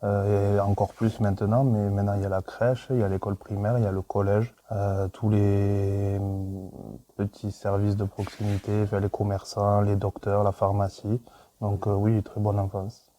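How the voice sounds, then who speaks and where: A man in his thirties answers questions in short, plain phrases, hesitating with fillers. His voice is very dark and fairly low. He speaks with little rise and fall in pitch. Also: little pitch movement, normal speed. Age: 30s. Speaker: interviewee, mechanic. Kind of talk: casual interview answers.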